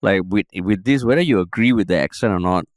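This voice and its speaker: A young man with a Singaporean accent, telling stories in an animated way with casual swearing in a podcast chat. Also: fairly low pitch, fast, no echo, clean studio sound. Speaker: podcast co-host.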